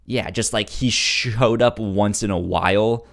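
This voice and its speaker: A man's voice: lowish voice, a little slow, gravelly. A man co-hosting a podcast in casual chat, stammering and repeating words as he goes. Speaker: podcast co-host.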